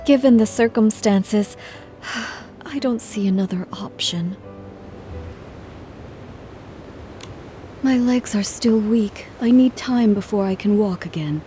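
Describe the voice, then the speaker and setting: An actress playing a gentle, earnest traveling musician in a video game, speaking politely and in measured phrases. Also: female voice, mid-range voice, soft background music, lightly acted. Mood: grateful, gentle. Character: gentle, earnest female traveling musician, composed but careworn. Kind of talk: video game cutscene acting.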